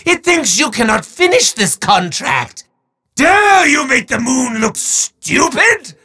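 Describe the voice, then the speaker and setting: An actor playing a bombastic, boastful evil wizard in a video game, with a raspy voice and theatrical, drawn-out proclamations that break into shouts. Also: male voice, extremely high pitch, very animated, full character voice. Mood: menacing, grandiose. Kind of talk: video game villain voice acting.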